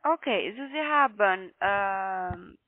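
A call centre agent heard over a narrow, tinny phone line. The voice is mid-pitched with a foreign accent, and the phrasing is halting and non-native, as in a sales call. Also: expressive. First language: not German.

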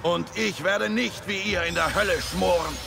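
A man's voice: high-pitched, normal speed, creaky. A man playing a brooding, resolute crusader son in a video game, grim and defiant. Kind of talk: dramatic video game acting. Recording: loud noise behind the voice.

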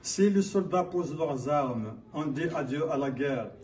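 A man from Kabylia in Algeria declaiming his own poetry in a solemn, measured manner. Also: fairly high pitch, expressive, darkish tone. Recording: distant mic, faint background noise.

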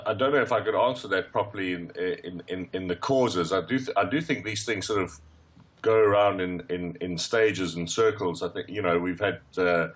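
A man's voice: deep voice, moderate pace. A man speaking as a football expert on a radio show, hesitant and thinking aloud. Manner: hesitant, many fillers and restarts. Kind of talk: radio discussion.

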